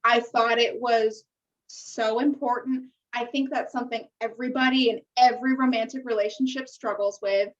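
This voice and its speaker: A woman's voice: fairly high pitch, fairly even intonation, moderate pace. An American woman co-hosting a podcast, chatting casually and candidly with strong opinions and casual swearing. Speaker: podcast co-host. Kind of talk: casual chat recapping a reality TV show. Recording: muffled, distant-sounding microphone.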